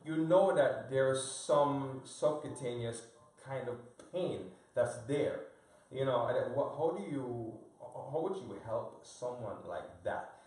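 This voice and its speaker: A man's voice: mid-range voice, animated, takes it slowly, darkish tone. Hesitant man, distant and echoey. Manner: thoughtful, hesitant, searching for words. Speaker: panelist. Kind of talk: live panel discussion. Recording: echoey room, off-mic.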